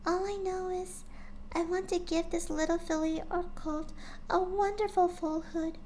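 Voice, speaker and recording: A woman voicing a shy, gentle young female pegasus pony who speaks softly. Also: very high-pitched, fairly even intonation, a little slow, a little hiss or hum, voice pushed up, poor audio. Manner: soft, quiet and gentle.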